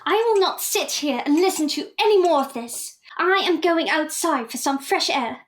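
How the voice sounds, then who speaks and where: A woman's voice: sits high, animated, normal speed. A woman playing a sensitive young Victorian daughter, plaintive and formal, in a period audio drama. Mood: fed up.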